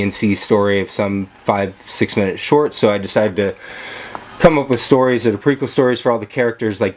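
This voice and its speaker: A man's voice: on the low side, a little hiss or hum. A man chatting casually on a video call about his film projects, rambling with many fillers and restarts. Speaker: vlogger and filmmaker. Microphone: camera mic.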